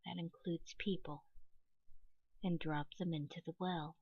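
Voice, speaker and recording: A woman reading a scripted guided meditation in a measured, instructional way, pausing between phrases. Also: lowish voice, fairly even intonation, darkish tone, breathy edge, no echo, highs cut off.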